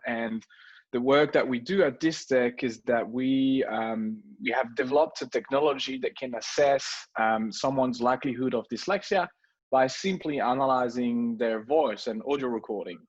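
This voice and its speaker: A man's voice: medium pitch, dull audio. A man with a French accent, a non-native English speaker, talking as a guest on a video call, hesitant with many fillers and restarts. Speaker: guest entrepreneur. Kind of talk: casual video call interview.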